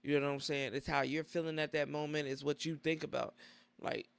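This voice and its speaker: A male host leads a casual podcast interview in a gravelly, fairly high voice. He rambles and keeps repeating filler phrases. The sound is dry and close. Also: flat delivery, fairly quick, dry recording. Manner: rambling, repeats "you know what I'm saying".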